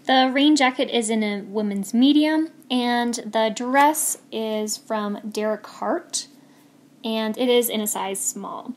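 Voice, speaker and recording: A woman's voice: fairly high pitch, takes it slowly. A young woman in her twenties chatting casually over her video as she walks through her hiking clothes. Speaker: vlogger. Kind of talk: voice-over gear walkthrough.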